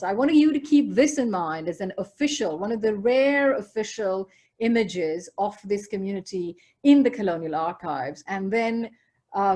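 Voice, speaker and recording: A woman with a Goan accent delivers a scholarly keynote over a video call. Her voice sits at an ordinary middle pitch, and her delivery is measured and lecturing, with friendly asides to her listeners. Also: mellow. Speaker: keynote speaker. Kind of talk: academic lecture. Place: video call from home or office. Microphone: computer or headset mic on a video call.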